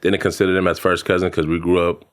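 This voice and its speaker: A man in his thirties from American Samoa, a podcast guest telling his story in a halting, casual way with many fillers and restarts. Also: deep voice, level tone. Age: 30s. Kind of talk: casual interview chat.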